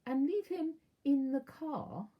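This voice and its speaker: A woman voicing a fussy middle-aged aunt in a children's storybook, emphatic and shrill, snappish yet doting. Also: lively intonation, slow pace, muffled recording. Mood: grudging. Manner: snapping, emphatic, high-pitched, cooing when doting.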